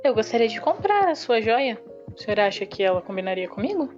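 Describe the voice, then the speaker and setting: A woman's voice: a little high, big pitch swings, fairly quick, darkish tone. Woman playing a tabletop rpg, muffled audio. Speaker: roleplaying game player. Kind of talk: casual tabletop roleplay, stating actions and in-character questions. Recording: slightly muffled, band-limited audio with music. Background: background music.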